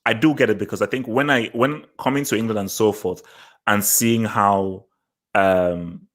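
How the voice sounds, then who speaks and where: Male podcaster, Nigerian accent, moderately low voice, conversational discussion style with emphatic repetition. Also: steady tone, normal speed. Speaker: podcast host. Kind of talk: casual discussion podcast chat.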